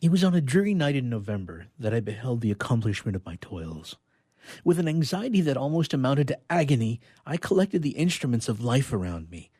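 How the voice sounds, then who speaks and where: A man voicing an anguished scientist in a formal, dramatic reading of a gothic novel, with a husky voice. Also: mid-range voice, mellow, no echo, studio quality. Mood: grave dread. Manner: formal, literary, horror-stricken. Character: anguished young male scientist recounting his horrifying creation.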